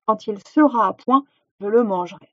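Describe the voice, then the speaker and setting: A woman putting on a bossy, high-pitched old witch. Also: lively intonation, normal speed, no room sound, highs cut off. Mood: barking orders. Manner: commanding, scolding. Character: wicked old witch, bossy, impatient, child-eating. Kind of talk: dramatised fairy-tale storytelling.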